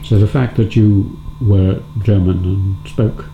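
Gravelly-voiced interviewing man, roomy echo. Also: fairly low pitch, steady tone, slow, narrow, tinny audio, a little hiss or hum. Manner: gentle, probing questions with soft backchannel murmurs. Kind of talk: interview questions.

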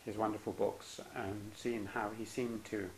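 A male interviewer asking questions politely and hesitantly, with many ums and soft acknowledgements. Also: lowish voice, little pitch movement, moderate pace, gravelly, a little hiss or hum, rough recording. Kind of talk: oral history interview.

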